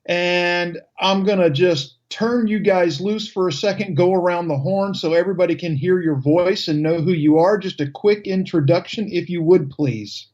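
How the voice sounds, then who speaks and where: Guy hosting a chatty radio show, kinda high voice, bit muffled audio. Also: normal speed, few pauses, a little mellow. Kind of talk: casual live talk show chat.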